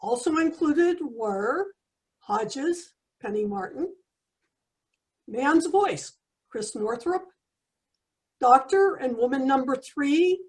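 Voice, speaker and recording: A woman's voice: high-pitched, lively intonation, slow pace, pauses often, rough recording. A woman announcer reading scripted credits for a radio comedy.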